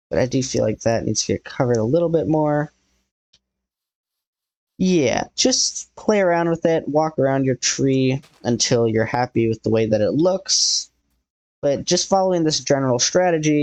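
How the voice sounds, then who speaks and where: A man walks viewers through a building tutorial in a relaxed, thinking-aloud way, his voice a little hoarse because he is losing it. He sits at a middle pitch, and the recording is dry and close. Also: dry recording. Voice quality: slightly hoarse. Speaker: tutorial host. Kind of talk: casual game building tutorial.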